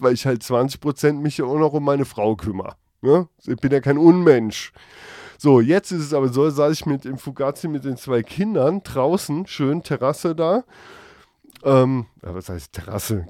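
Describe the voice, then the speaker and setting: A man's voice: medium pitch, darkish tone, no echo, clean studio sound. A German man in his thirties hosting a podcast with a casual, comedic, rambling monologue full of slang and swearing. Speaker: podcast host.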